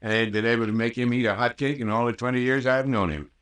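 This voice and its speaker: A man acts a small-town American doctor in 1940s radio drama style. He talks in a plain rustic drawl with a medium-pitched voice, placating everyone around him in homely country phrases. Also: quick pace, fairly bright tone, natural voice. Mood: genial, hospitable. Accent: rural American.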